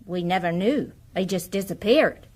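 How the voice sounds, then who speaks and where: An actress playing a middle-aged working-class former housekeeper in a period radio drama, guarded, inquisitive and plain-spoken. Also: female voice, lowish voice, moderate pace, natural voice.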